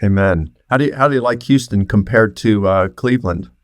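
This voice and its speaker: A man's voice: lowish voice, a little fast, dry recording, clean studio sound. A man, a professor hosting a casual interview and introducing guests. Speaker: host, university professor.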